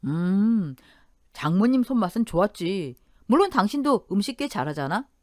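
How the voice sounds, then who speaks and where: A woman's voice: mid-range voice, very animated, normal speed, a little mellow, no room sound, clean studio sound, lightly acted. A woman playing a practical, agreeable young husband and father in radio story dialogue.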